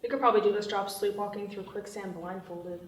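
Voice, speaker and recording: A woman's voice: mid-range voice, fairly even intonation, a little fast, lightly acted. A woman playing a cocky young thief at a screenplay table read, recorded in an echoey room. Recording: echoey room, distant microphone.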